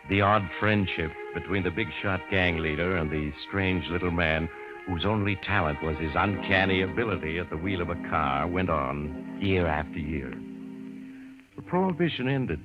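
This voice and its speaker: An actor as the grave narrator of an old radio mystery, telling the story in measured, dramatic style. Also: male voice, low-pitched, lively intonation. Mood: ominous, dramatic. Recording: muffled old radio recording. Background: music.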